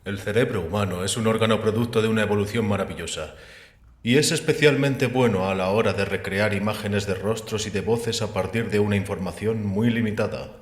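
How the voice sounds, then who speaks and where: A man acting in a Spanish audio drama as a calm, sceptical, erudite figure, explaining in a measured, gently mocking way with rhetorical questions. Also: lowish voice, close to an everyday voice. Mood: calm, didactic. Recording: echoey hall with long reverb.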